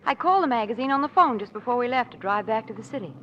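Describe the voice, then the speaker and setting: A woman's voice: a little high, animated, very fast, close to an everyday voice. An actress playing a pert society lady and detective's companion in a 1940s radio drama. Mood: chatty, wry. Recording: dull, noisy old 1940s radio broadcast.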